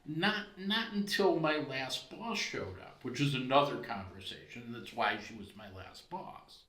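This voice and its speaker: A man's voice: medium pitch, expressive, measured pace, pushed and bright, reverberant. A man hosting a podcast who talks in a discursive, conversational way with many asides. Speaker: podcast host. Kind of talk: conversational interview.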